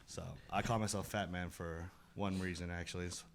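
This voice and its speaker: Low-voiced man chatting on a podcast. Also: steady tone, a little fast, slight background noise. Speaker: podcast guest. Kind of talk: casual group chat.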